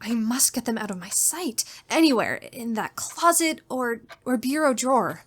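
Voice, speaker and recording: A woman's voice: on the high side, animated, normal speed, breathy edge. An actress playing a terrified, genteel captive young woman in a suspense drama, with a faltering, melodramatic delivery. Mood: anxious dread. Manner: faltering, repeats words, melodramatic. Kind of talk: old-time radio style suspense drama, diary monologue.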